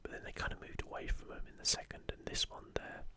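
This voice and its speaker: Whispering man, ASMR host. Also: fast. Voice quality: breathy, unvoiced whisper. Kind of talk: whispered ASMR commentary on an art book.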